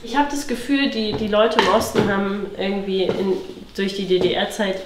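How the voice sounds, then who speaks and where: A woman from eastern Germany answers interview questions in a casual, thoughtful way. Her voice is medium in pitch, roomy and a little distant, with clear noise around her. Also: steady tone, moderate pace. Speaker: interviewee from a farming family. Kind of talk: casual, reflective interview talk. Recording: roomy, distant, noisy location sound.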